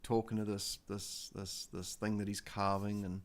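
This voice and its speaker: A man with a New Zealand accent hosting a casual livestream, talking in a halting, rambling way with many fillers and restarts into a desk microphone. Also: lowish voice, flat delivery. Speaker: livestream host.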